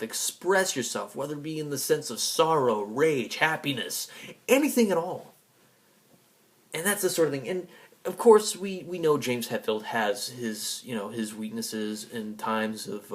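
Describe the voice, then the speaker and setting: Man giving an earnest, halting monologue. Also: medium pitch. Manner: earnest, halting, repeats himself. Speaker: video blog host. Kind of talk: unscripted personal fan tribute monologue.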